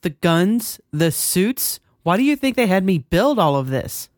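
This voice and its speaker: A man voicing a tall, bitter young woman in a soft, tense, resentful voice. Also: high-pitched, sing-song, measured pace, dry recording, studio quality, voice pushed up.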